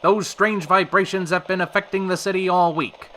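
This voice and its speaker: Man acting as old-time radio presenter, higher-pitched, sharp and projected, showy, theatrical, 1930s broadcast style. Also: fairly even intonation, normal speed, slight background noise. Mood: showy, polished. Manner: theatrical announcer delivery. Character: brisk showy 1930s radio show host. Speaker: radio show host.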